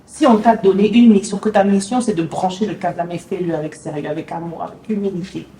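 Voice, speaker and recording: Female journalist guest, earnest and reflective, phrases fade softly at the end, very echoey room, mic set back from her. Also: lowish voice, fairly even intonation, brisk, a little hiss or hum. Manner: earnest, reflective, drops to a soft level at phrase ends. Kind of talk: motivational interview talk. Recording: reverberant room, distant mic.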